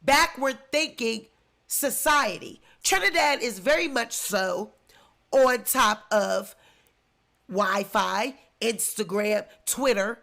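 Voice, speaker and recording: A woman's voice: fairly high pitch, creaky, fairly bright tone. A congested woman with the flu giving indignant, emphatic celebrity commentary in a vlog. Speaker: commentary vlogger.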